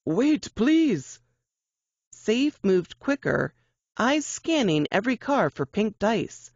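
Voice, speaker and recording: A woman reading fiction aloud as a narrator, in an expressive, suspenseful, audiobook-style delivery. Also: medium pitch, slow, dry recording, highs cut off.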